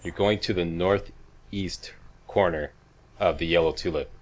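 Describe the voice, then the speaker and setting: Low-voiced man doing casual game commentary. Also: steady tone, measured pace. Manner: folksy, wisecracking asides. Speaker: video game walkthrough host.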